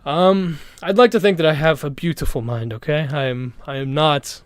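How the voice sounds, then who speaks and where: A man's voice: a little high, moderate pace, dry recording. A young Canadian man streaming from home, chatting casually and rambling with lots of swearing and restarts. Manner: rambling, profane, laid-back, full of restarts. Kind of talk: casual live-stream chat.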